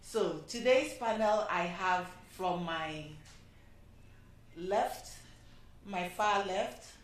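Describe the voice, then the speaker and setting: A woman hosting a panel discussion, speaking at an unhurried pace with fillers and pauses. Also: medium pitch. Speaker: host. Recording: echoey room from a distance, faint noise.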